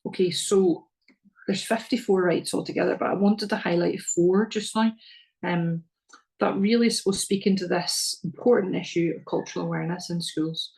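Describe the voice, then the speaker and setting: Earnest Northern Irish woman, flat delivery. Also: fairly low pitch, moderate pace, creaky, rough recording. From Northern Ireland. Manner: earnest, repeats words, frequent ums. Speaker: presenter at a parents' session. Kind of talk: slide presentation to parents.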